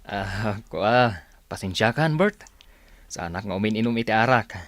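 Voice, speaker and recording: A man's voice: on the low side, moderate pace, fairly bright tone, lightly acted. A man playing a mild, apologetic village neighbour in a radio drama, speaking in a hesitant, conciliatory way. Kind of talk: Ilocano radio drama acting.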